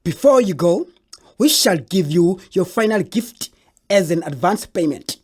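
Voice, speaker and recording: A woman's voice: lowish voice, expressive, unhurried, a little mellow, breathy edge. A woman with a South African accent playing a sensible, bossy creator spirit in children's audio theatre. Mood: firm.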